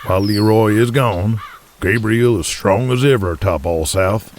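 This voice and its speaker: An actor playing a gruff, shrewd wrestling promoter from Texas in an audio drama, with a folksy, deliberate way of speechifying. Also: male voice, on the low side, a little hiss or hum, close to an everyday voice. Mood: keen, scheming.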